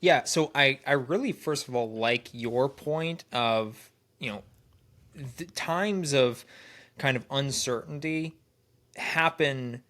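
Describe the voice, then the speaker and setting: A man's voice: mid-range voice, takes it slowly, a bit bright. A man co-hosting a trading podcast, sounding nasal and congested from a cold, who often restarts phrases as he talks. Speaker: podcast co-host. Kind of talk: casual podcast chat.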